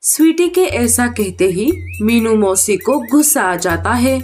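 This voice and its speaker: Woman, children's story narrator, fairly high pitch, slow deliberate pace, scripted delivery. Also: expressive, a little mellow, soft background music.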